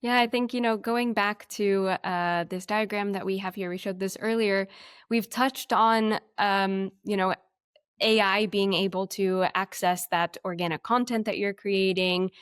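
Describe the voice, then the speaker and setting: Clear-voiced woman moderating a webinar. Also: medium pitch, fairly even intonation, measured pace, dry recording, clean studio sound. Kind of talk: conversational moderating.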